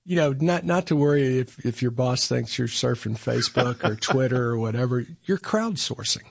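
A man's voice: medium pitch, lively intonation, normal speed, creaky, dry recording, studio quality. A man hosting a podcast, friendly and conversational as he introduces and interviews a guest. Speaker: podcast host.

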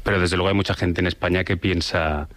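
Deep-voiced man from Spain, dry sound. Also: fairly even intonation, fast talker, a bit bright, no echo. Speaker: radio panellist, journalist. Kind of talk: casual radio commentary.

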